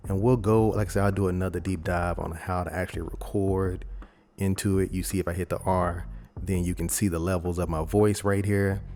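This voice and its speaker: A man presenting a software tutorial in a casual, rambling, conversational way, talking informally through each step. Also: deep voice, level tone, fairly quick. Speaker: video editing tutorial host.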